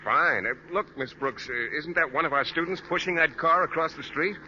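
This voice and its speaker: A man's voice: on the high side, moderate pace, pushed and bright. An actor playing a shy, naive, earnest male teacher in a 1940s radio sitcom, polite and hesitant. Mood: mild, puzzled. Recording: dull, lightly noisy 1940s radio recording.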